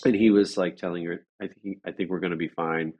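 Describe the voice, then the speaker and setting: A man with a fairly low voice talks as a guest in a casual interview. He recounts things conversationally, circling back and repeating phrases as he feels his way through each thought. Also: fairly even intonation, darkish tone. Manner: halting, rambling, with repeated phrases.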